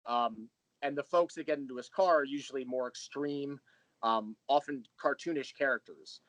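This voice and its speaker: A man's voice: mid-range voice, normal speed, a bit bright, no room sound, low-quality recording. A man speaking as the guest in a casual interview, explaining his creative work in a thoughtful, rambling storyteller's way. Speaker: interview guest, audio drama creator and writer.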